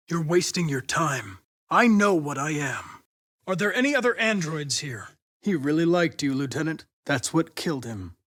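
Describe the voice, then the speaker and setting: A man's voice: fairly high pitch, a bit bright, no room sound, clean studio sound. A man voicing a young android police investigator in a video game, with an American accent and a precise, formal, mission-driven manner. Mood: detached, resolute. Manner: precise, clipped, formal, measured, insistent when pressed. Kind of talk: video game voice acting, isolated dramatic lines.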